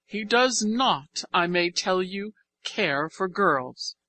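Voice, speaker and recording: A woman voicing a self-important orphanage matron, formal and measured, in an audiobook. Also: lowish voice, expressive, sharp tone, exaggerated voice. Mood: pompous, measured. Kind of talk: audiobook character voice.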